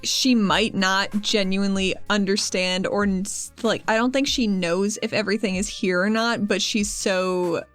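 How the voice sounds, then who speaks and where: A woman's voice: medium pitch, a bit bright. A woman takes part in a tabletop roleplaying game. Her mid-range voice is conversational as she moves between describing and acting her character. Faint music plays in the background. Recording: music behind.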